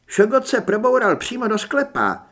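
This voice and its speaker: A man's voice: sits high, big pitch swings, a bit bright, voice pushed up. A man voicing a tough, blunt, cool-headed woman monster hunter with a controlled delivery in an audiobook. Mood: intense.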